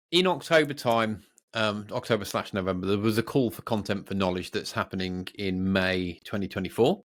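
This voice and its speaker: A British man co-hosting a podcast in casual, unscripted tech chat, rambling, jokey and easily sidetracked. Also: lowish voice. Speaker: podcast co-host.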